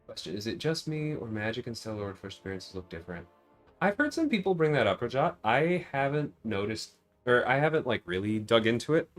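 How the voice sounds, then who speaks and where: A man's voice: medium pitch, very animated, fairly quick, soft background music. A man hosting a casual gaming livestream, thinking aloud in a rambling way as he chats to viewers. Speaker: livestream host.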